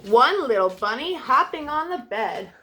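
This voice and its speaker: A woman's voice: sits high, sing-song, a little slow, pushed and bright. A woman hosting a children's storytime, reading aloud and addressing young listeners in a sing-song way.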